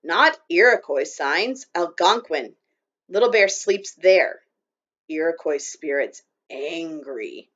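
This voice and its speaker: A woman's voice: low voice, animated, slow, a bit bright, dull audio, exaggerated voice. A woman voicing a stern, proud tiny warrior man who speaks in clipped, broken, commanding phrases.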